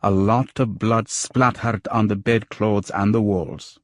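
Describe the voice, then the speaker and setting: A man voicing a shaken, frightened woman with a husky voice and a grave, urgent manner, in audiobook dialogue. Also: fairly low pitch, steady tone, slow pace, no echo, studio quality. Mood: grave, horrified. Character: shaken, frightened woman recounting a friend's murder.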